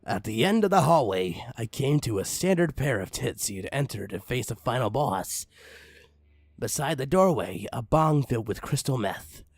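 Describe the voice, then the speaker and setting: Raspy, theatrical man reading a comic horror story. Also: mid-range voice, very animated, no room sound. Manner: theatrical, mock-ominous with drawn-out phrases. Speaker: creepypasta reader. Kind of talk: dramatic comic story narration.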